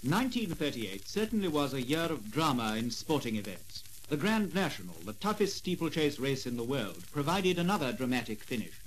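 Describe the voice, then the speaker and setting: A man giving formal, measured documentary narration in a 1950s style on an old gramophone record. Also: medium pitch, very animated, slight background noise, rough recording. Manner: formal, measured announcer delivery. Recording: vintage 78rpm gramophone record.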